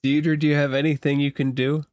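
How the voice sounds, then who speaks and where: A man acting as game master, narrating a tabletop game casually with measured, hesitant explanations. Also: a little high, flat delivery, darkish tone, no room sound, studio quality.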